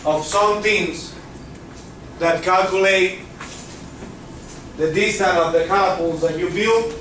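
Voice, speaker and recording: Physics teacher, male, Cuban accent, high voice, heard from far off in an echoey classroom. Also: slow pace, a bit bright, a little hiss or hum. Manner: checks in with students often. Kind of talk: classroom lecture.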